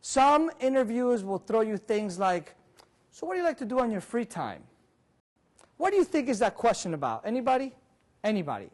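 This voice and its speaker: Male seminar speaker in his 40s with a fairly high voice, lively and audience-quizzing, recorded from across a conference room. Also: fairly quick. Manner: animated, walks about and gestures, quizzes the audience. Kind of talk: interactive career seminar talk. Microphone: far camera, room mic.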